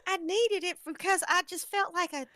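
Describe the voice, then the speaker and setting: A woman's voice: very high voice, normal speed, fairly bright tone, dry recording, studio quality. A woman chatting casually as a guest, with a playful, dramatic, self-deprecating way of talking. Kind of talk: casual chat.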